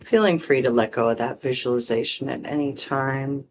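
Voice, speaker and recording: A meditation teacher guiding breath and visualization in short, gently permissive phrases with long pauses. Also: medium pitch, a little hiss or hum. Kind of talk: guided meditation, unhurried instruction.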